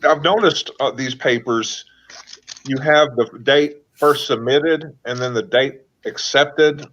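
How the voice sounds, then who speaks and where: A man's voice: mid-range voice, takes it slowly, fairly bright tone. A man in a casual talk, laying out his reasoning with thoughtful questions. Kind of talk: casual chat. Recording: dull lo-fi audio.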